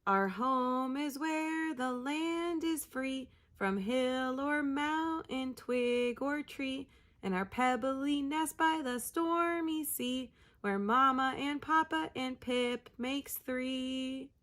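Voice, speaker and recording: Lost baby penguin girl, plaintive, high lilting voice, bright tone, woman storyteller doing the voice. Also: very animated, slow, hardly any pauses, full character voice. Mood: singing softly. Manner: plaintive, wide-eyed. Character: lost little female penguin chick, earnest.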